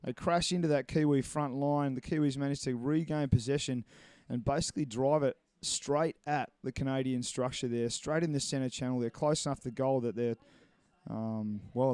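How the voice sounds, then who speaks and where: A man calls a live match from a commentary box, chatty and rambling, full of little fillers as he goes. His voice is mellow and smooth, and the recording is close and dry. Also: mid-range voice, dry recording. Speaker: sports commentator. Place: commentary box at an indoor pool.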